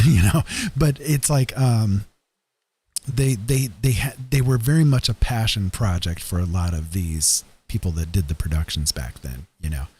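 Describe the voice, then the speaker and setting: A man hosting a stream, chatting casually in a rambling, hesitant, self-effacing way with a husky voice. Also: on the low side, dark tone. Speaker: streamer host.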